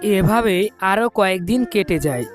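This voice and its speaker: Lady narrator reading a cartoon bird tale, medium pitch, faint music. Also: expressive, normal speed. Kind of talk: scripted cartoon story narration.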